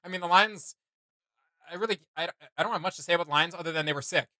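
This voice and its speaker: A man's voice: high voice, quick pace, bright, forceful tone, no room sound. A man hosting a casual reaction video, chatting in an enthusiastic, rambling and slangy way.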